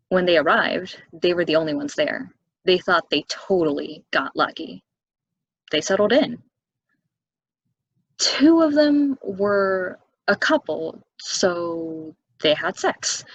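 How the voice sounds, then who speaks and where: Low husky actress as a skeptical woman. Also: no room sound, exaggerated voice, rough recording. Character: skeptical, level-headed woman spinning stories. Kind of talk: stage play table reading, storytelling.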